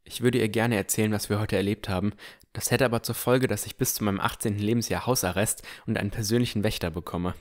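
A man's voice: lowish voice, steady tone, quick pace. A man reading scripted first-person narration as the narrator of a fantasy audio drama.

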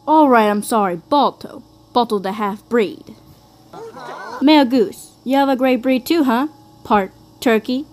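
A woman's voice: fairly high pitch, measured pace, lightly acted. A woman voicing an arrogant, taunting male sled dog in a cartoon fandub, with a smug, mocking drawl. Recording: noisy amateur fandub recording.

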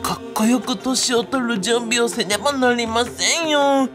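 A woman's voice: a little high, moderate pace, natural voice. A woman playing a fussy, vain, self-pitying young man with polite, quirky speech in a comic radio drama. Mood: fretful musing. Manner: polite, whiny, quirky sentence endings. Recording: music playing behind the voice.

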